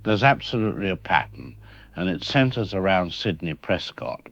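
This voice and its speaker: A man playing a professor interviewed as an expert in a radio drama, speaking in a measured, analytical way. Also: low-pitched, big pitch swings, creaky, a bit bright, no room sound, full character voice. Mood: calm, grave. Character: grave male professor explaining a killer's mind. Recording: dull lo-fi radio sound with faint music.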